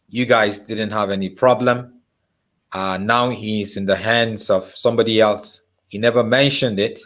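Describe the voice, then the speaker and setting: A man's voice: on the low side, a bit bright. A male interviewer who asks sympathetic questions and rephrases them several times as he goes.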